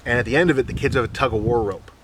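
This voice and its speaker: A man giving a relaxed, joking bushcraft lesson, explaining each step in plain words as he demonstrates. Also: medium pitch, rapid-fire, distant mic, a little hiss or hum, rough recording. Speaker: bushcraft instructor. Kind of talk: hands-on outdoor skills demonstration.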